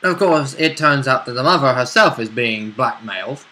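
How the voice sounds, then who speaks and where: A man's voice: medium pitch, lively intonation, moderate pace, bright, forceful tone. A man talking casually as a player in a tabletop role-play game, chipping in conversationally. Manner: interjecting, conversational.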